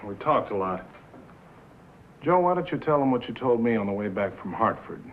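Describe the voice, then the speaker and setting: An American man acting as a brisk, pushy, persuasive show-business manager in a 1950s film drama. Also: medium pitch, sing-song, natural voice. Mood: smooth, prompting. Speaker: actor in a feature film. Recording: dull vintage film soundtrack, faint noise.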